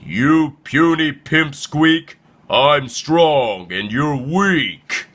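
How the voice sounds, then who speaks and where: A man's voice: on the high side, animated, very slow, a bit bright, full character voice. A man voicing a big, strong, mean llama bully in a children's storybook, with a boastful, taunting manner. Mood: sneering.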